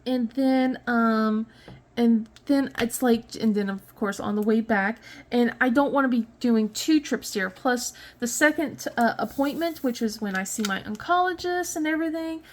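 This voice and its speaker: A woman in her thirties talks casually at home, a touch sniffly. Her voice sits fairly high, and she wanders through her thoughts with fillers, restarts and small laughs. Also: normal speed, slight background noise. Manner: rambling, many fillers and restarts, light laughs. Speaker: crafting vlogger. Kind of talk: casual chat while diamond painting.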